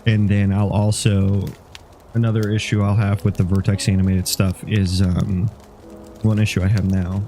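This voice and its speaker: A man's voice: lowish voice, level tone, normal speed, warm tone, soft background music. A sniffly man, a game developer, talking casually through his code and rambling as he thinks aloud. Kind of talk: casual dev log.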